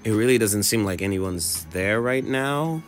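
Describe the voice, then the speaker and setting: Low-voiced man narrating a street vlog. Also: lively intonation, measured pace. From moved to California in 2005. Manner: musing, repeats phrases, asks rhetorical questions. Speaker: vlogger. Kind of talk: casual observational vlog narration. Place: outdoors on city streets and in a car, phone vlog. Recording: background music under street narration.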